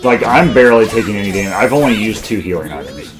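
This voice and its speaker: A man's voice: fairly low pitch, expressive, fast. A man co-hosting a game playthrough, chatting casually and sounding wryly exasperated at the game. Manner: wry, exasperated about the game. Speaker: co-host, gamer. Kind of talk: casual let's-play commentary. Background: game music.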